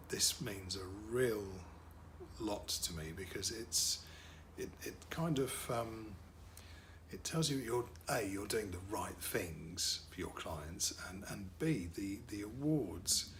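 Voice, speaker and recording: A man's voice: on the low side, fairly bright tone, slight background noise. A middle-aged man, a wedding DJ and MC whose first language is French, talking casually about his work with lots of fillers and repeated words.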